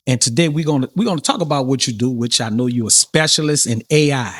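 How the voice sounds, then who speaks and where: A man with a New Orleans accent hosting a podcast, talking in a relaxed, rambling way with many fillers and restarts. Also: medium pitch, fast talker, no room sound. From New Orleans, USA. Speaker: podcast host. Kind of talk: casual interview chat.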